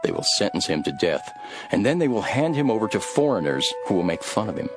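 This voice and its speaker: A man playing a calm, authoritative religious teacher in a dramatized scripture, solemn and measured. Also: on the low side. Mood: solemn, grave. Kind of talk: dramatized scripture reading with storytelling. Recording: background music under the voice.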